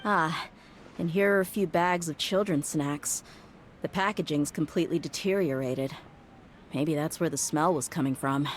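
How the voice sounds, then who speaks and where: A woman's voice: low voice, moderate pace, slight background noise, close to an everyday voice. An actress voicing a tough, blunt young female mercenary, wry and exasperated, sighing often. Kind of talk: game voice acting.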